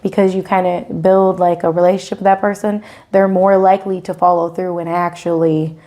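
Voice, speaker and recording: A woman in her twenties is a guest on a casual podcast. She has a warm, mellow voice and speaks in a soft, agreeable way, full of fillers. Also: lowish voice, flat delivery, brisk. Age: 20s. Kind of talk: casual chat.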